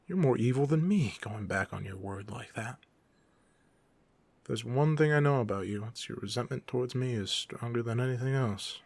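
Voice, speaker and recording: A man playing a cocky, playful, secretly soft-hearted young supervillain in a casual, intimate roleplay audio drama, teasing the listener as he talks. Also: medium pitch, expressive, moderate pace, gravelly, no room sound. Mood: mock-offended, persuasive. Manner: teasing, casual, smug, conversational.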